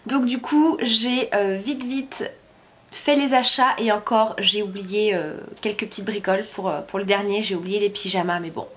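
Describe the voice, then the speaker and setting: A woman's voice: mid-range voice, moderate pace. A woman, chatting casually in a home vlog with a stuffy nose from a cold, hesitating often with fillers. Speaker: vlogger. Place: at home, sitting on her bed.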